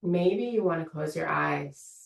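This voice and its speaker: A low-voiced woman guides a meditation, her words unhurried and gently inviting. Her voice has a gravelly edge. She sounds a little away from the mic, and the room gives it an echo. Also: recorded from a distance. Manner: slow, soothing, invitational guidance. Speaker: meditation teacher. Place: room of an online yoga studio.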